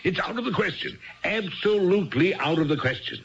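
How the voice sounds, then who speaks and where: An actor playing a cold, domineering bookish husband in a 1970s radio drama, curt, emphatic and imperious. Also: male voice, sits high, animated, a little fast, fairly bright tone. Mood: outraged. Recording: dull lo-fi 1970s radio broadcast.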